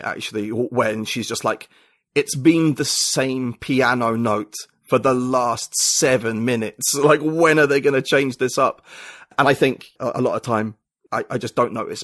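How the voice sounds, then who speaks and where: A man from the UK talks through his ideas in a loose, rambling way, full of restarts and fillers as he thinks aloud. His voice sits at a middle pitch. The sound is close and dry, with no room echo. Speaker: podcast guest, writer and poet. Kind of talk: casual conversational interview.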